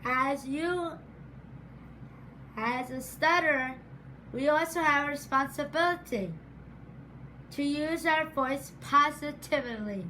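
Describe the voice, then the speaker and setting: A woman giving a prepared speech in a deliberate, measured way, stuttering often with repeated sounds and words. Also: high voice, pushed and bright, a little hiss or hum, poor audio. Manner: stutters with sound and word repetitions. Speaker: program graduate giving a speech.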